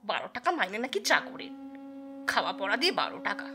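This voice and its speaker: A woman's voice: a little high, sing-song, fairly quick, bright, forceful tone, heavily acted. A woman playing a suspicious, grudging housewife in a Bengali audio story, with a nagging, scornful manner. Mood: grumbling. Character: shrill, grudging, suspicious housewife, master's wife.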